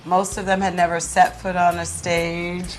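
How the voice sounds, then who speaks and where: A woman's voice: fairly low pitch, flat delivery, normal speed, a little hiss or hum, low-quality recording. A middle-aged woman, a teacher, explaining things conversationally as a guest in an interview. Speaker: high school drama teacher, interview guest.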